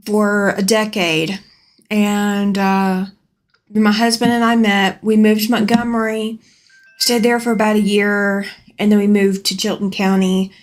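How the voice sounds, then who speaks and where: A woman from Alabama, chatting casually on a live stream from her home sewing room as she rambles and answers viewers' comments aloud. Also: medium pitch.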